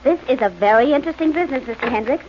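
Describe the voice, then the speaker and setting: A woman's voice: high-pitched, lively intonation, racing. A woman playing a sweet, teasing young girlfriend in 1940s radio acting. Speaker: supporting actress. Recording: dull, slightly hissy old radio broadcast.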